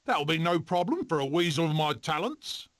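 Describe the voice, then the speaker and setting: Cocky weasel adventurer for a cartoon game, high bright voice that swoops all over, theatrical and boastful. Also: male voice, sing-song, moderate pace, a little hiss or hum. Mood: triumphant, boastful. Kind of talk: animated film video game voice clips.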